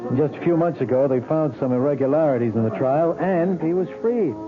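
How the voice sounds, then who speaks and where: A man's voice: on the high side, narrow, tinny audio, close to an everyday voice. Male actor as police detective, vintage radio drama, patient, doubtful, placating, thin band-limited sound, music underneath. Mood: explaining, placating. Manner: measured, probing, gently placating.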